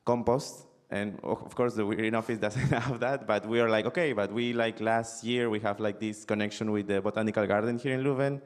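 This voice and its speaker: A young man, a student on a panel, telling an example in a halting way full of fillers and restarts. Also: fairly low pitch, steady tone. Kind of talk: panel discussion anecdote.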